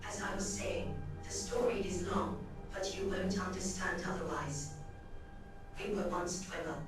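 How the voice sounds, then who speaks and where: A woman voicing an ancient, solemn machine being in a game, speaking in a measured, grave, formal way. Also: fairly low pitch, natural voice. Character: ancient female machine being, solemn soul in gilded metal body. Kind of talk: scripted game character dialogue. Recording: very echoey, distant, music behind.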